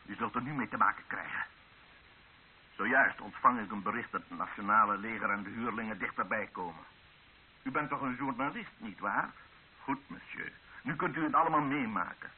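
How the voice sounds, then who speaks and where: An actor playing a bitter, menacing rebel district commander in an old radio drama, with a stern, mocking, declamatory delivery. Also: male voice, on the low side, brisk, natural voice. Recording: hissy, narrow-band old radio drama recording.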